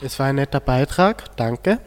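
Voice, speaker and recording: A man's voice: mid-range voice, animated, normal speed, faint music behind. Teenage Austrian boy hosting school radio. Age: teenage student. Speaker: student radio host. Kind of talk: moderating a school radio discussion.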